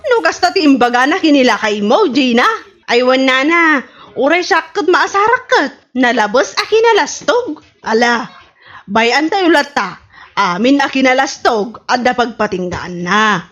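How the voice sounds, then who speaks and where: A woman's voice: high-pitched, lively intonation, a little slow, fairly bright tone, full character voice. A woman playing an exasperated, scolding village neighbour in an Ilocano radio comedy drama, speaking with an Ilocano accent. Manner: scolding, complaining.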